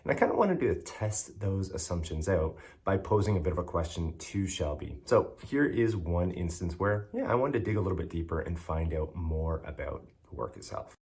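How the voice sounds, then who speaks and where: A man hosting an interview show in a casual, wordy way, softening his points as he goes. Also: deep voice, animated, moderate pace, few pauses. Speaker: host and interviewer.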